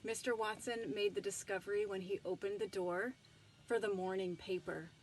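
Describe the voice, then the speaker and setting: A woman reading a children's storybook aloud with commentary, in an expressive, emphatic storytelling manner. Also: mid-range voice, moderate pace, a little hiss or hum, poor audio. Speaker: storybook reader.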